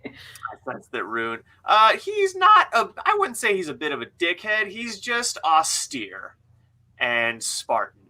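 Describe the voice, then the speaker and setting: Bright-voiced, animated young man bantering. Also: high voice, slow. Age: young adult. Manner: jokey, laughs through his words, swears. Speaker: author hosting a read-along livestream. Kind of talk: casual banter, reading out chat comments. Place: indoor room at home.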